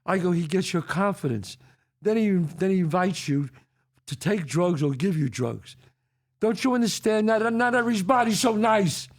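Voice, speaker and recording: Man, older, New York accent, gravelly, lively storyteller. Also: high-pitched, moderate pace. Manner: animated storyteller acting out quotes. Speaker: podcast host. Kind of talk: casual storytelling chat.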